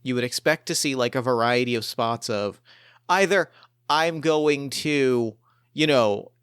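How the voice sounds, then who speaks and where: A man improvising narration as game master of a tabletop roleplaying game, speaking haltingly and repeating phrases as he builds his descriptions. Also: medium pitch, fairly bright tone, clear, resonant tone, no room sound, studio quality.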